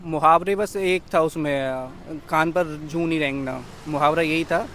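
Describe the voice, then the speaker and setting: A young man with a slightly high voice gives casual interview answers, haltingly listing one item after another. Faint street noise sits behind him. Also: a bit bright. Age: young adult. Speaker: exam candidate being interviewed.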